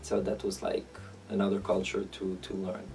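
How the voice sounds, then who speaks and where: Low, gravelly man with an Israeli accent. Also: completely flat delivery, far from the mic, loud music behind the voice. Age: 30s. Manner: halting, thinking aloud. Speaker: interviewee. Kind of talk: reflective interview answers.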